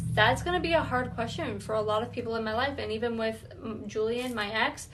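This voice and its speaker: A woman's voice: a little high, a bit bright, slight background noise, low-quality recording. A woman giving an earnest, reflective self-awareness talk, thinking aloud as she goes. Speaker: wellness vlogger.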